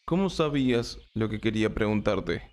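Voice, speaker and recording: A man's voice: lowish voice, expressive, normal speed, darkish tone, natural voice. A man playing a bewildered, earnest knight in an audiobook, with a questioning manner. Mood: curious, earnest. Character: puzzled, earnest male knight in rusty armor. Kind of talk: audiobook character acting.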